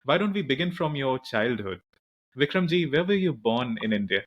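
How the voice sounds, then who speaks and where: A man's voice: mid-range voice, sing-song, moderate pace, highs cut off. A man hosting a podcast interview, asking questions and giving short attentive backchannels. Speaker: podcast host.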